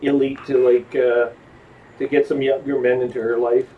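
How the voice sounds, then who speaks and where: A man streaming a video game, chatting casually with fellow players in a halting way, repeating words as he goes. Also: medium pitch, level tone, recorded from a distance. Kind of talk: casual gamer voice-chat banter. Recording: dull, noisy headset audio, off mic.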